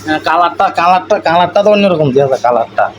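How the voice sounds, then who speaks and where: A man's voice: fairly high pitch, expressive, fast, muffled recording. A man with an eastern Bengali dialect, chatting casually and teasingly. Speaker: vlogger chatting with a friend. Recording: noisy outdoor recording with traffic ambience.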